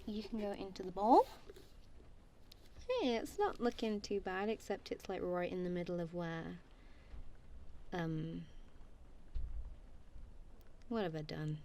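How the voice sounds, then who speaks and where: Female voice for casual ASMR roleplay: leisurely pace, crackly vocal fry, playful and apologetic, faint noise behind. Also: on the low side, slow, pauses often, dark tone. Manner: playful, giggly, self-deprecating, apologetic. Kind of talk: goofy, friendly ASMR roleplay, casual one-sided chat.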